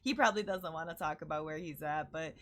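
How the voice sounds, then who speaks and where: A woman's voice: low voice, fast. A woman with a Chicago accent hosting a casual interview. She is bubbly and giggly and laughs easily. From Chicago, US Midwest. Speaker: interview host. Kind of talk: casual online interview chat.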